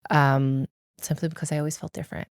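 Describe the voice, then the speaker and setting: Middle-aged American woman, deep voice, dry close sound; earnest interview guest who explains things carefully. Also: flat delivery, quick pace, no room sound. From United States. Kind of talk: reflective personal interview.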